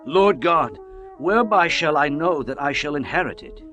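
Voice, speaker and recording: An earnest ancient patriarch , as a man acts him, pleads in a somewhat high, animated voice that rises and falls. He sounds a little distant, with music behind. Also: normal speed. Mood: pleading. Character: aged patriarch pleading with his god, earnest.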